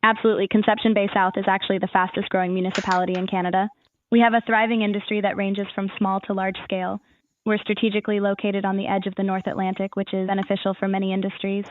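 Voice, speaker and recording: Lady ai assistant voice, quick formal info answers, tinny phone sound, no echo. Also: medium pitch, little pitch movement. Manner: formal, measured customer-service phrasing. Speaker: AI voice assistant for a town's services. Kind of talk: scripted, formal informational answers.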